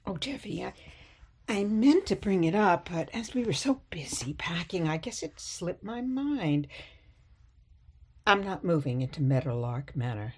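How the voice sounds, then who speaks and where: An older woman playing a sweet but stubborn elderly mother in a radio play, gently chiding and coy. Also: fairly low pitch, big pitch swings, measured pace, slightly rough, full character voice. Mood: flustered, evasive.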